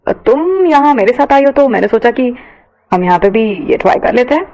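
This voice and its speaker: An easygoing young man in an audio story, as a woman narrator plays him. He speaks casually and reassuringly, with a generous temper. The pitch is on the high side. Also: sing-song, fairly quick, darkish tone.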